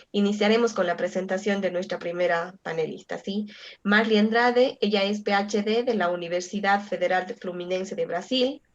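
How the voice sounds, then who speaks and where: A woman's voice: medium pitch, lo-fi sound. A woman moderating an academic webinar over a video call, reading a speaker's credentials with short check-ins. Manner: reads credentials steadily, with filler checks. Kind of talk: formal introduction.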